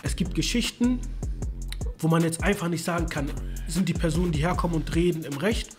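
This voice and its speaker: Young guy hosting a chat show, talks quick, slightly high voice, music under it. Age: young adult. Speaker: host. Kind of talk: casual interview. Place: small indoor studio lounge. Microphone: microphone on boom arm.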